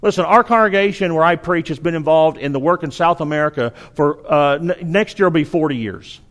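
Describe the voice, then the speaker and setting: A man's voice: on the high side, brisk, fairly bright tone. A middle-aged man with a Southern accent from Arkansas, preaching a sermon in an earnest, exhorting way, often restarting his sentences.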